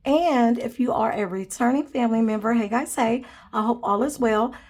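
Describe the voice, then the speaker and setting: A woman's voice: a little high, moderate pace, darkish tone. A young woman hosting a budgeting vlog, chatting casually and counting cash aloud, sounding nasal from a cold. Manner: friendly, calls viewers "guys", counts money aloud. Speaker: budgeting channel host.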